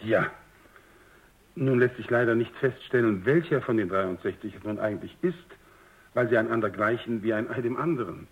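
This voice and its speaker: A man's voice: mid-range voice, natural voice. A man playing a courteous general who explains things formally and carefully in a German radio drama, on a dull lo-fi recording. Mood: explaining carefully. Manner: formal, polite, measured. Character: courteous male general commanding a research base, explaining himself.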